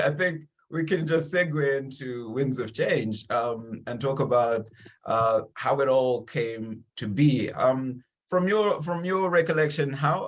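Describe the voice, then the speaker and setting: A man hosting a conversational interview in an enthusiastic, encouraging manner. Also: mid-range voice. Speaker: interview host.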